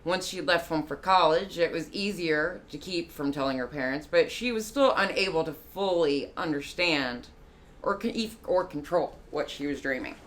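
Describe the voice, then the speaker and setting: A woman with a low voice, a guest narrator reading a short story aloud in a measured, careful way with small slips. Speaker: guest narrator, musician and artist.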